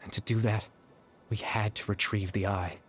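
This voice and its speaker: A man playing a gentle, sorrowful young man in a video game, speaking in a soft, measured, confessional way. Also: fairly low pitch, little pitch movement, a little hiss or hum, lightly acted. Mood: apologetic. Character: gentle, sorrowful young man, a fading remnant consciousness at peace. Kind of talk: scripted video game voice acting. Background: background music.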